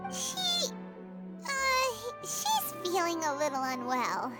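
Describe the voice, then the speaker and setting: A woman voicing a small, excitable, childlike fairy companion in a video game, earnest and puzzled. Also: very high-pitched, takes it slowly, over loud music, heavily acted. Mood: chirpy, hesitant. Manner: refers to herself by name, exclamatory, puzzled. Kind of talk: video game character dialogue.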